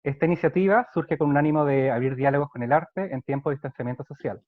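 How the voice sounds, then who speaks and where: A man's voice: on the high side, quick pace, dry recording. A man hosting an interview over a video call, polite and formal. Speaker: host, school interviewer. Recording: tinny phone-like video call audio.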